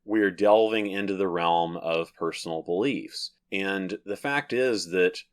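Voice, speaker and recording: A man with a very low, deep voice, talking through his ideas alone. He is deliberate and methodical, circling back to rephrase each point before moving on. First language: English. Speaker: religious video host. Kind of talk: solo talk to camera, measured explanation.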